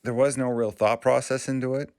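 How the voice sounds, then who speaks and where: A man from Maine hosting his channel, talking casually in a halting, rambling way with frequent restarts. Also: medium pitch, fairly even intonation, darkish tone, smooth, dry recording, studio quality. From Maine, USA. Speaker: YouTube channel host. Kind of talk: casual vlog commentary.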